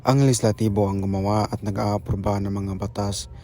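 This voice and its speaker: A Filipino man narrates a school explainer from a script. His voice is on the low side and distinctly mellow, with faint noise in the background. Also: fairly even intonation, a little fast.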